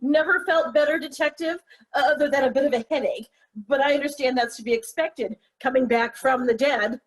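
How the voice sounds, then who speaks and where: A woman's voice: high voice, a little fast, low-quality recording. A woman playing a wry, exasperated middle-aged mother in a comic play read aloud. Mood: dry, guarded. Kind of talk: table-read stage comedy acting.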